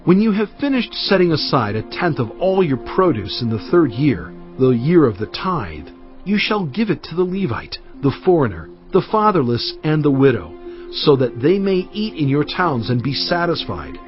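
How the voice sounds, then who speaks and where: A man playing a solemn, commanding Hebrew prophet and lawgiver in a dramatized audio Bible, declaiming deliberately to his people. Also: fairly high pitch, big pitch swings. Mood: solemn, earnest. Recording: dull band-limited audio over music. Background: background music.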